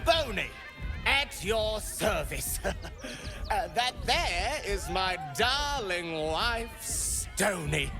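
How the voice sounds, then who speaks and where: An actor playing an eager, besotted sculptor-merchant with fussy, grandiose sales patter in a fantasy game. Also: male voice, high voice, big pitch swings, extremely slow, gravelly, full character voice. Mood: besotted, flustered. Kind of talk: theatrical game character acting. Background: music.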